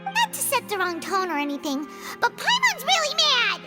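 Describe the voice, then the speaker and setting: Super squeaky little fairy kid, excitable, whiny game sidekick, music behind. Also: female voice, normal speed, big-room sound, exaggerated voice. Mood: hurt, cross. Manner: childish, whiny, speaks in third person. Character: tiny childlike floating fairy companion, blunt and excitable.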